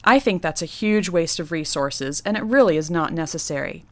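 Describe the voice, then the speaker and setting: A woman who designs nurseries, reading a prepared segment of tips in a clear, instructive way. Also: low voice, animated, moderate pace, dry recording. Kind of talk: scripted segment read.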